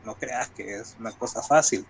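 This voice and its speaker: A man's voice: fairly low pitch, moderate pace, gravelly, a little hiss or hum. A man from El Salvador with a Salvadoran accent, talking as an interview guest in a colloquial, reflective way and explaining with examples. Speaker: guest writer. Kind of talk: conversational interview talk.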